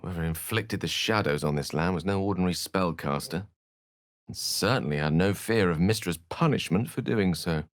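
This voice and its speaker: An actor playing an eloquent, courteous and wry wizard in a fantasy video game, with a British accent and articulate, theatrical phrasing. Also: male voice, deep voice, moderate pace, no room sound, clean studio sound, natural voice. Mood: grave, measured. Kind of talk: dramatic fantasy game dialogue.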